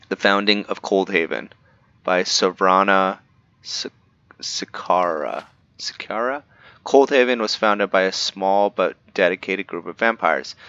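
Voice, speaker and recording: A man's voice: sits low, pushed and bright, clean tone, dry recording, highs cut off. A man playing a roleplaying game, reading in-game books aloud and stopping to comment, often stumbling over names and restarting words. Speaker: roleplaying gamer.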